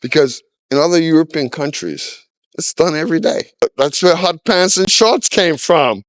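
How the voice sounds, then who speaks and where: Man in his sixties, from trinidad and tobago, smooth clear voice, candid and opinionated, dry close sound. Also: a little high, normal speed, no room sound. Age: 60s. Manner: candid, opinionated, with frequent "you know" fillers. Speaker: street interviewee. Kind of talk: casual street interview.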